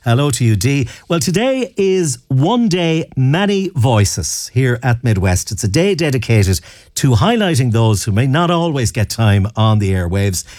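A man's voice: mid-range voice, darkish tone, dry recording. Guy on the radio, chatty and jokey, voice jumps around a lot, dry studio sound. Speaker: radio host. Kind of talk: casual radio chat.

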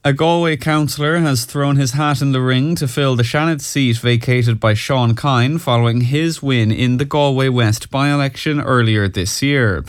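A man's voice: medium pitch, moderate pace, few pauses, dry recording. A man with an Irish accent reading scripted news as a radio presenter at a close studio microphone.

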